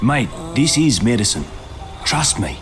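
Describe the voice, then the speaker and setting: A man's voice: mid-range voice, big pitch swings, unhurried, over music. A man playing an impatient, brusque survivor hero in a video game cutscene.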